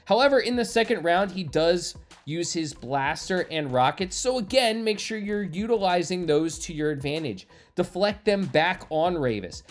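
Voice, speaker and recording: A man giving enthusiastic, explanatory video game walkthrough commentary as the creator of a gaming channel. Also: sits high. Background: game music.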